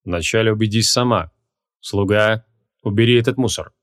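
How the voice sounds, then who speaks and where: A man voicing a proud, smug ancient wizard in an audiobook, with a gruff, lordly and measured delivery. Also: lowish voice, close to an everyday voice. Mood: commanding.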